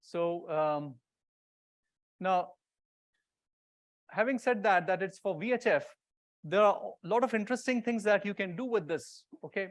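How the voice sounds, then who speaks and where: A man's voice: high-pitched, expressive, a little slow, pauses often, no echo. A man presenting an informal technical talk, explaining step by step and checking that listeners follow. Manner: explanatory, often checking with "right?" and "okay?". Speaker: conference presenter, radio builder.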